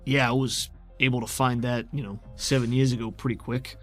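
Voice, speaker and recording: A man's voice: medium pitch, little pitch movement, fairly quick. A man with a mid-range voice acts as game master in a role-playing show. He improvises dialogue and narration in casual, down-home phrasing. Music plays faintly behind him. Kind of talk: improvised in-character roleplay with narration.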